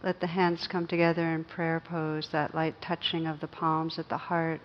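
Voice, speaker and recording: Low-voiced woman guiding a meditation. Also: monotone, highs cut off, a little hiss or hum. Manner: gentle, unhurried, with long pauses. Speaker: meditation teacher. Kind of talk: guided meditation.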